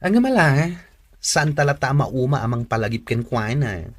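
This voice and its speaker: A gossipy, opinionated young friend in a radio drama, played by a man. The voice is husky and chatty, with very animated swoops in pitch. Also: normal speed. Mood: gossipy, exasperated.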